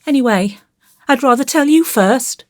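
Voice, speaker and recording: A woman's voice: high voice, lively intonation, normal speed, dry recording, clean studio sound, voice pushed up. A woman voicing an earnest, naughty but penitent little boy in an audiobook, pleading and blurting in childlike, colloquial speech. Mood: miserable, confessing. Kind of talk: audiobook character voice.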